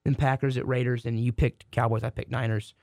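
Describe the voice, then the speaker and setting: A hoarse man co-hosting a sports podcast, talking casually and restarting his sentences often. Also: mid-range voice, level tone, a little fast, darkish tone, dry recording, clean studio sound. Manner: rambling, many restarts. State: hoarse from a cold. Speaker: podcast co-host.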